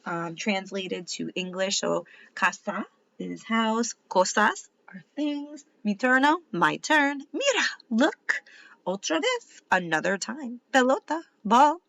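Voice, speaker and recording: A woman's voice: a little high, lively intonation, slow, fairly bright tone, no echo, muffled recording. A woman giving a read-aloud lesson for children as a teacher, instructive and asking questions.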